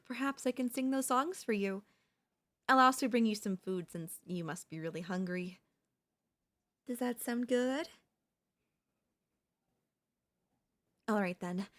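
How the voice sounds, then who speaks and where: A woman playing an obsessive female pop idol turned kidnapper in an ASMR roleplay, speaking in a soft, coaxing, doting way with menace underneath. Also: fairly high pitch. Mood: doting, controlling.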